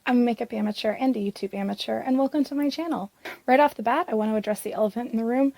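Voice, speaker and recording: A young woman from Canada with a hoarse, scratchy voice from vocal strain, chatting casually to camera as a beauty vlogger, rambling with lots of fillers. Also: a little high, very fast, dark tone, dry recording.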